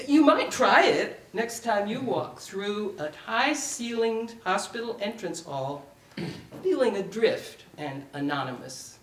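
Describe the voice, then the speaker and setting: An older woman reads a personal essay in a slow, deliberate way, her pitch moving expressively through each literary phrase. The sound is roomy, as from a microphone some way off. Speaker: writer reading her own piece. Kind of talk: dramatic reading of a personal essay. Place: library event room, live reading. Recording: roomy, distant mic, faint noise.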